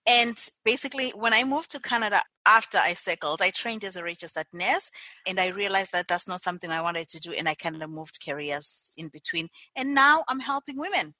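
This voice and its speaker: A woman talks through her story as an interview guest, earnest and unhurried in long runs of speech. Her voice is bright and forward. The recording is dry and close. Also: medium pitch, animated, no echo. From Zimbabwe. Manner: earnest, talks in long connected stretches with small restarts. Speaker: guest, entrepreneur.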